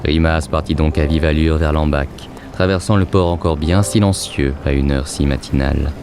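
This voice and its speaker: Super deep voiced guy narrating a fantasy audio story, measured delivery, loud music behind him. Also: fairly even intonation. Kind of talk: scripted literary narration of audio fiction.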